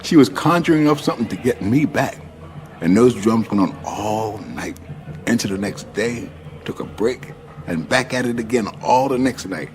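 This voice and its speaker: A man's voice: lowish voice, expressive. A man acting a laid-back, mischievous, worldly friend in a radio drama, telling things in a wry, colloquial way. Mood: wry, amused. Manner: unhurried, wry, chuckling, colloquial.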